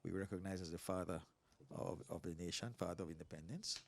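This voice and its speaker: A man in his sixties from Belize, a pastor telling stories in a relaxed interview, animated and quick to laugh. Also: deep voice, creaky, a little hiss or hum. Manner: animated storyteller, laughs often. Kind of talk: casual interview talk.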